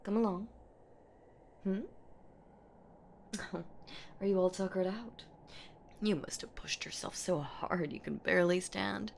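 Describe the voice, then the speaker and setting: A woman's voice: mid-range voice, a little hiss or hum. A woman voicing an ancient female boogeywoman in a horror roleplay audio drama, predatory and possessive, with teasing, menacing delivery. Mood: teasing, triumphant. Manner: slow, teasing, menacing, breathy whispers and drawn-out words.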